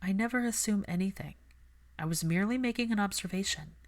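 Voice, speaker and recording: A woman's voice: medium pitch, fast, pitched above the performer's own voice, natural voice. A woman voicing a principled, stubborn young female healer who speaks earnestly and precisely in an audiobook. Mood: insistent, indignant. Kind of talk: audiobook character dialogue.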